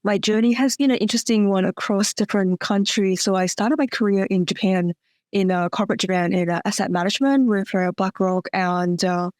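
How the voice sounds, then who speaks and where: A woman's voice: medium pitch, brisk, a little mellow, dry recording, studio quality. A woman from Singapore, a guest on an interview podcast, talking conversationally with "like" and "um" fillers. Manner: chatty, uses "like" and "um" fillers.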